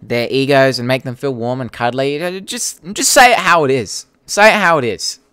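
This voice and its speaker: A man's voice: mid-range voice, moderate pace, sharp tone, clean tone, no echo, studio quality. A young Australian man hosting a casual live Q&A, chatting to viewers and reading their comments in a blunt, informal, sweary way. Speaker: YouTube creator hosting a live Q&A.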